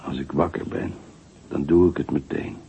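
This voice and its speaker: A lazy young farmhand drifts through a dream in a radio play, mumbling drowsily. His voice sits extremely low and gravelly, and it comes from a little away from the microphone. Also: fairly even intonation, moderate pace, dull audio, heavy background noise. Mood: drowsy.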